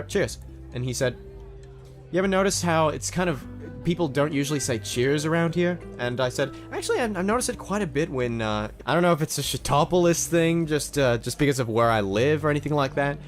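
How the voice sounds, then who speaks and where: A man's voice: mid-range voice, a little fast, soft background music. A man with a slight Australian accent, telling a rambling story on a casual podcast, often restarting his sentences. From Canada. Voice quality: rambling, restarts a lot, gets excited. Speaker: podcast co-host.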